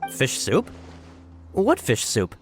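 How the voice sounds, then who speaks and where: An actor playing a frank, informal spy underling in a video game, candid and exasperated. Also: male voice, mid-range voice, huge pitch swings, slow, faint music behind. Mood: puzzled, frustrated.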